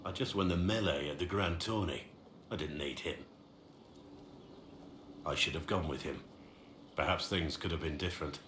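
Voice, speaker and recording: A man's voice: deep voice, normal speed, pauses often, a bit bright, echoey room, slight background noise. A man playing an older, wistful warrior in a video game, telling stories in a reflective way. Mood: regretful. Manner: storytelling, reflective. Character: older wistful male warrior, regretful of youthful pride. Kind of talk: dramatic game dialogue.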